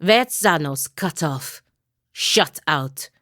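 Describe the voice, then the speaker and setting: A woman's voice: sits low, expressive, drawn-out words, a bit bright, dry recording, exaggerated voice. An actress playing a stern, dutiful and proud paladin woman in a video game, with a dry, sardonic and commanding manner. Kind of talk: video game character dialogue.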